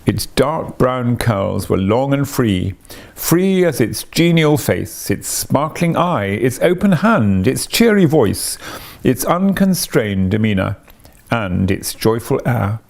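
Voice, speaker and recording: Male audiobook narrator for literary fiction: dramatic, deliberate delivery, creaky mid-pitched voice. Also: very animated, darkish tone.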